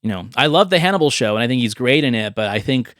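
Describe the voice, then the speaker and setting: A man's voice: medium pitch, lively intonation, fast talker, fairly bright tone, no room sound, studio quality. A man hosting a podcast, chatting casually and enthusiastically in a rambling way. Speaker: podcast host. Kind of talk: casual chat about movie ideas.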